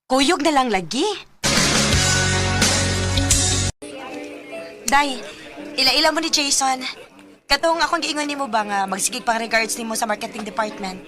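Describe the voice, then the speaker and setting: A woman's voice: high-pitched, brisk, over music, lightly acted. An actress playing a nosy, teasing woman friend who prods for secrets, with a Cebuano Filipino accent, in a radio drama. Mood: chatty. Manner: teasing, prodding. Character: nosy, teasing female friend, matchmaking confidante. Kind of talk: radio drama acting in Bisaya.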